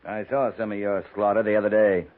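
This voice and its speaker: An actor playing a stern, unflappable army captain in a 1950s radio western, speaking in a terse, dry, challenging way. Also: male voice, on the low side, little pitch movement, fast, phone-quality sound, noisy background, natural voice. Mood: stern disapproval. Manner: terse, clipped, dryly challenging. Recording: thin, band-limited 1950s radio recording.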